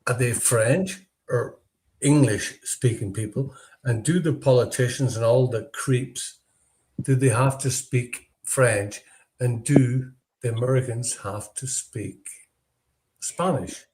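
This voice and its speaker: A man hosting a casual live group discussion, chatty and quick to jump in over others, swearing now and then. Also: medium pitch, a little mellow, a little hiss or hum. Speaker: host. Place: lives in Germany.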